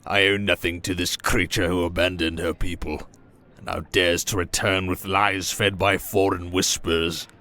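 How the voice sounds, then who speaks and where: Deep, forceful old tyrant sorcerer-king. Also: little pitch movement, moderate pace. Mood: scornful. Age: older. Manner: menacing, scornful, theatrical incantations. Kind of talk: dramatic audio roleplay acting.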